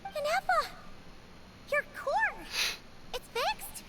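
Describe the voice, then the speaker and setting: Tiny squeaky game fairy, super high pitch, excitable, bouncy up and down. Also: female voice, extremely slow, very noisy, full character voice. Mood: excited relief. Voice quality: squeaky, childlike. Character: small excitable high-voiced female fairy companion. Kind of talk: video game character acting.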